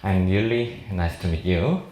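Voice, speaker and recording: A man in his forties with a Korean accent, a native Korean speaker, giving an informal seminar talk as a surgeon in halting, jovial English. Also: deep voice, darkish tone. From South Korea. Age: 40s. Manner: halting, jovial non-fluent English with frequent chuckles. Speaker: plastic surgeon lecturing at a medical seminar. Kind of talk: informal seminar presentation to an audience. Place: indoor seminar room. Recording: echoey seminar room.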